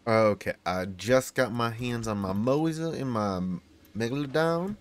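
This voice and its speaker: A man with a slightly hoarse, failing voice, casually rambling as he plays and talks to himself and his creatures. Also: mid-range voice, a little slow. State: losing his voice, sore throat. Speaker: gaming YouTuber. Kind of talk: casual gameplay commentary.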